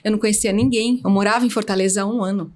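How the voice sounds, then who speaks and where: A woman's voice: medium pitch, fairly even intonation, rapid-fire, a little mellow. A woman in her forties from São Paulo, a veterinarian and business owner, frankly telling her story as a podcast guest. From São Paulo, Brazil. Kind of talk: casual interview.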